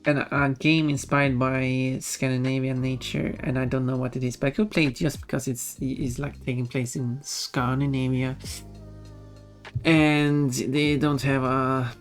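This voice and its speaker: A casual streamer with a mid-pitched voice of ambiguous gender chats over soft music. The talk rambles and doubles back to correct itself, with giggly little asides. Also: flat delivery, unhurried. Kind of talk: casual livestream chat. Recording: music behind the voice. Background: background music.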